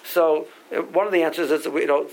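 A man's voice: fairly high pitch, steady tone, fast talker, vocal fry, darkish tone, poor audio. A man from Barbados giving a halachic lecture, often repeating words as he works through sources and reading out Hebrew legal terms. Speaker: rabbi teaching a Talmud class.

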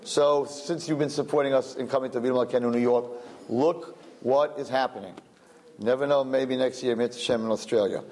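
A man's voice: medium pitch, steady tone, brisk, a little mellow. Chatty man with a Brooklyn accent. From Brooklyn, USA. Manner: chatty, rambling, self-joking. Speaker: event host. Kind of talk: live-streamed announcements.

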